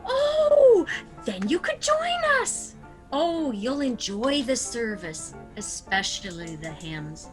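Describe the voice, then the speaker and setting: A woman's voice: fairly high pitch, very animated, slow, soft background music. A woman playing a sweet but easily indignant elderly aunt in a radio comedy. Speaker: radio play actor. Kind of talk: theatrical radio comedy acting.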